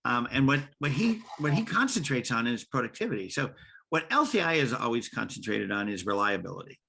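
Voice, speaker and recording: A man's voice: mid-range voice, sing-song, a little fast, creaky, sharp tone, husky. A man hosting a podcast conversation, rambling with frequent restarts and repeated words as he builds his questions. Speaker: podcast host.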